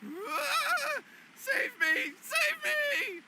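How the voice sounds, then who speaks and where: A man's voice: very high voice, sing-song, extremely slow, bright, forceful tone, slight background noise, full character voice, poor audio. A man playing a cowardly, guilt-ridden young man in a video game, nervous, pleading and stammering. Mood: terrified. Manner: stammering, panicky.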